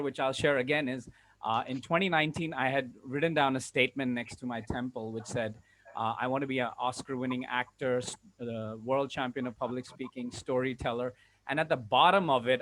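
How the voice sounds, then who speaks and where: A man's voice: mid-range voice, a bit bright. A man from Hong Kong giving an earnest, enthusiastic personal testimonial to an online group. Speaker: public speaking trainer and coach. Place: online group video call. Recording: noisy online call, uneven level.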